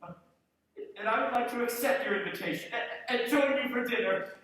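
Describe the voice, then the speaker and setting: An actor playing an elderly reformed miser in a radio play, stammering, effusive, by turns humble and jubilant. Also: male voice, extremely high pitch, brisk, a bit bright. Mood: humbly warm. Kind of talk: live radio play acting. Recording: echoey stage, distant mic.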